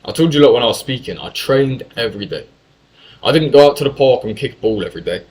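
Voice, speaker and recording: A man's voice: mid-range voice, animated, fast, a little mellow, poor audio. A young man from England telling a story in a casual monologue, talkative and full of swearing and self-corrections. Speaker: YouTuber.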